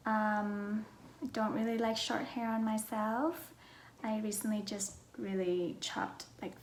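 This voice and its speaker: A young adult woman from Vietnam with a Vietnamese accent, talking casually and softly with a breathy voice as she answers personal questions for her viewers. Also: fairly high pitch. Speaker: vlogger. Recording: roomy echo, faint background noise.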